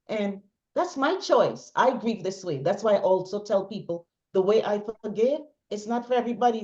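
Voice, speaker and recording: Middle-aged female interview guest, chatty and emphatic, repetitive phrasing, muffled low-fidelity audio. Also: mellow. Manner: talkative, emphatic, repeats phrases for stress. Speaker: interview guest, bereaved mother. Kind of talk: personal testimony in conversation.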